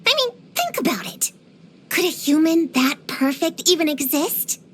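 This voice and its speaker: Feisty little girl game character, very lively high voice, theatrical, earnest. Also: measured pace, slight background noise, full character voice. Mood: excited, emphatic. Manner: earnest, theatrical, uses grown-up vocabulary. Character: precocious, feisty, dramatic little girl witch hunter. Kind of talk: scripted video game character voice lines.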